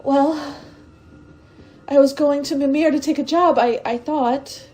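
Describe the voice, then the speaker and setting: Young stowaway woman, played by an actress, radio drama. High, mellow, tender and brave, earnest with hesitations. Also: fairly even intonation, unhurried. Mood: resigned, tender. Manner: wistful, hesitant, emotionally earnest delivery.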